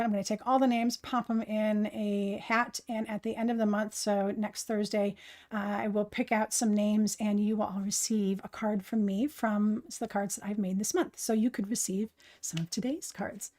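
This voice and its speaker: A raspy-voiced woman hosts a casual live craft stream, her voice a little hoarse. She talks in a chatty, instructive way, heaping enthusiastic praise and filling pauses with ums. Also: mid-range voice, fairly even intonation, darkish tone. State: hoarse from heavy recording work. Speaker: craft livestream host.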